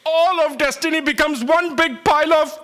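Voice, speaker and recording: Man playing a high, melodramatic comic quester. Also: fairly bright tone. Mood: urgent, commanding. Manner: theatrical, exclamatory, halting. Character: bossy, melodramatic comic colleague on a frantic quest. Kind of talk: staged comic audio drama. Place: theatre stage. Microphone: microphone on a stand. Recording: noisy live stage recording.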